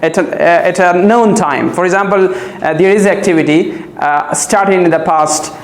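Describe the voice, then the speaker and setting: High-voiced man teaching in an echoey classroom. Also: normal speed. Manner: didactic, repeats key phrases, checks with "okay?". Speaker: English teacher. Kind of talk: classroom grammar lesson, explaining and questioning pupils. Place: indoor classroom with pupils.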